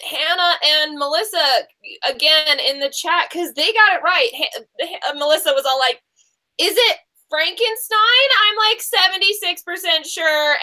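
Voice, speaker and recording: A woman hosting a casual book quiz, chatting in an animated, teasing way. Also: sits high. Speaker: quiz show host.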